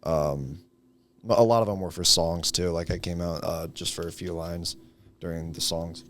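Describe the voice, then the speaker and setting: A man's voice: sits low, steady tone, normal speed. A young man in his late teens chatting casually as a guest, in a hesitant, understated way. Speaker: high school senior guest. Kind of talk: casual chat.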